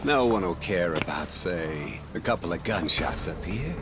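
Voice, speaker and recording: A man's voice: low-pitched, animated, normal speed, over loud music. A man playing a smug, mocking middle-aged yakuza captain in a video game, drawling his theatrical threats. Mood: mocking menace. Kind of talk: dramatic video game cutscene acting.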